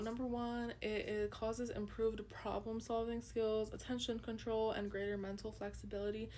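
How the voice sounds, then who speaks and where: Earnest young woman student, even pitch. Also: slight background noise. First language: Urdu. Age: young adult. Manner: earnest, reflective, with frequent ums. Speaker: student presenter. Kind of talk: class project presentation.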